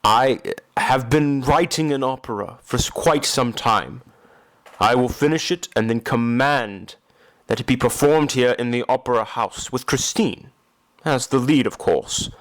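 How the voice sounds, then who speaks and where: A man's voice: medium pitch, lightly acted. A man acting a brooding, tormented masked Phantom. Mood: resolute, cold. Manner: formal, grave, deliberate phrasing. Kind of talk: audio drama acting.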